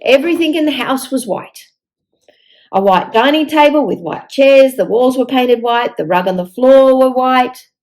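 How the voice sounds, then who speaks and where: An older woman reading a picture book aloud to young children in an expressive storytelling manner. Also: sits high, normal speed. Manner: expressive, with exaggerated dialogue.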